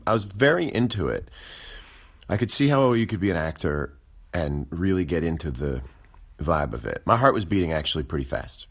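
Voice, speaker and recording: Deep-voiced wry man musing on a livestream. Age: 40s. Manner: wry, musing, deadpan asides. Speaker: livestream host. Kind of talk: casual livestream chat. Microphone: phone.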